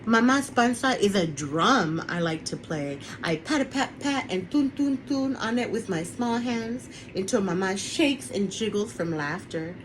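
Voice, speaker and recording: A woman's voice: medium pitch, expressive, measured pace, few pauses, noisy background. A woman who is an author, reading her children's picture book aloud on an online webinar recording. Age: adult, parent of a young son.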